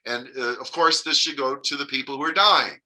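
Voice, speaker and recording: Earnest man, bright edgy voice, rambling spiritual address full of fillers. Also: medium pitch, fairly quick. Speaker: religious leader giving a message.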